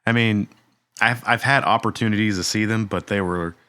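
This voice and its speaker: A man in his thirties talks casually on a podcast, his low voice carrying a bright edge. He rambles and restarts his sentences in a laid-back way. The recording is dry and close. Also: moderate pace, no room sound. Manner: relaxed, rambling, many fillers and restarts. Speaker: podcast co-host. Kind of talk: casual chat.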